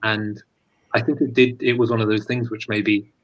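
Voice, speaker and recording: Low-voiced, halting British man. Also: level tone, low-quality recording. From London, England. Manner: halting, tentative phrasing. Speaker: guest poet. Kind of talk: casual thoughtful conversation. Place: live online video call.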